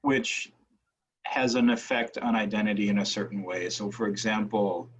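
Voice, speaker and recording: An American man from Wisconsin talking thoughtfully on a panel, haltingly searching for his words. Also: lowish voice, fairly bright tone. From Wisconsin, United States. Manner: halting, searching for words, frequent checking "right". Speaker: academic panelist. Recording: dull, muffled audio.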